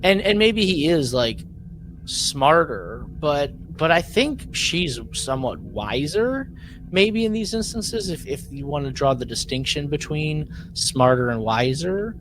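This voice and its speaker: A man's voice: on the high side, big pitch swings, unhurried. Man chatting casually over background noise. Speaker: podcast co-host. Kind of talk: casual discussion recapping a drama episode.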